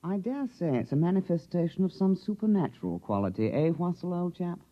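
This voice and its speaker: A man's voice: sits high, sing-song, fairly quick. A man playing a stuffy, genteel alien ghost doctor with a British accent and clubby politeness, in 1950s radio drama style. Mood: puzzled, genteel. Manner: pompous, clubby old-chap politeness. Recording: dull vintage radio recording.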